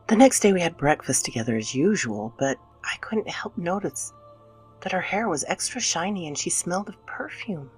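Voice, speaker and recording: A woman playing an anxious, guilt-ridden mother in a dramatic scripted horror narration, theatrical and dipping into breathy near-whispers. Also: fairly low pitch, animated, normal speed, a little mellow, faint music behind. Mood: confessional, uneasy.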